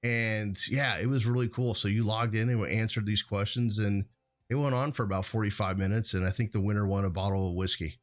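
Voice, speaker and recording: Low-voiced man, rambling casual co-host. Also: steady tone, a little fast. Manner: rambling, self-correcting. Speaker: co-host of a hazardous materials show.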